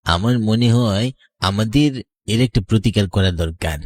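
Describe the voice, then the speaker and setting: A man's voice: on the low side, moderate pace, dry recording, close to an everyday voice. A man voicing a concerned, sober young madrasa student in a horror cartoon.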